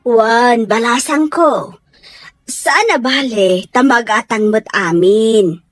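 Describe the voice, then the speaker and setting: Woman acting high-pitched humble fish seller. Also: slow, no room sound. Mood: friendly, thankful. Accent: Ilocano. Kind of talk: Ilocano radio drama acting.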